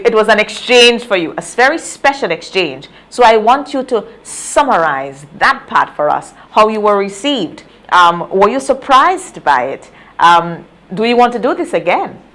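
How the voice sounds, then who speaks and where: A Grenadian woman hosting a morning interview in a conversational, deliberate way, repeating phrases for emphasis. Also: medium pitch, expressive, a bit bright. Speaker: morning show host.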